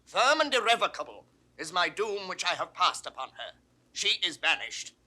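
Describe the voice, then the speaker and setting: Angry british duke in an old shakespeare radio play, bossy, sharp voice, big swings in pitch. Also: male voice, very animated, moderate pace, heavily acted. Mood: stern, imperious. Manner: imperious, commanding, crisply theatrical. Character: angry tyrannical duke, stern older uncle. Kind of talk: 1960s Shakespearean audio drama acting.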